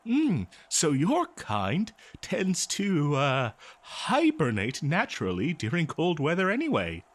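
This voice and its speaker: An eager, kindly researcher acted by a man in an audio drama, with a fairly high voice that swings widely in pitch. He takes his time, choosing words politely and with a scholarly, halting manner. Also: wildly swooping pitch, full character voice. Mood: fascinated. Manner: halting, flustered, polite, exclaiming. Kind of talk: scripted audio roleplay, one-sided dialogue.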